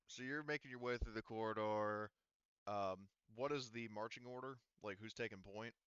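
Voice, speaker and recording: A man's voice: low-pitched, fairly bright tone, smooth, dry recording, highs cut off. A man running a tabletop roleplaying game as game master, explaining things casually and somewhat hesitantly.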